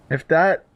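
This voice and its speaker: A male game streamer with a slightly high voice reacts casually to what he is playing. His delivery is joking and sarcastic, with an incredulous edge. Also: fairly bright tone, a little hiss or hum.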